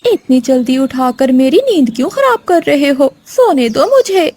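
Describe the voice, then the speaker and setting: A woman's voice: high voice, very animated, moderate pace, dark tone. A woman voicing a lazy, irritable mother crow with a complaining, snappish manner in a moral-story cartoon. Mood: grumpy.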